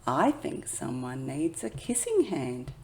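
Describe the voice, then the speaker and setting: A woman voicing a tender, patient mother raccoon in a storybook, speaking in a gentle, soothing, reassuring manner. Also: low voice, big pitch swings, slow pace, slight background noise, voice pushed down, full character voice. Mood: tender reassurance.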